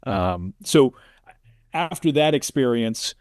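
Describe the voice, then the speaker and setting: A man's voice: medium pitch, animated, slow pace, a little mellow, no echo, clean studio sound. A middle-aged American man, an interview guest, talking casually and reflectively in a storytelling way. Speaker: interview guest, sports play-by-play announcer.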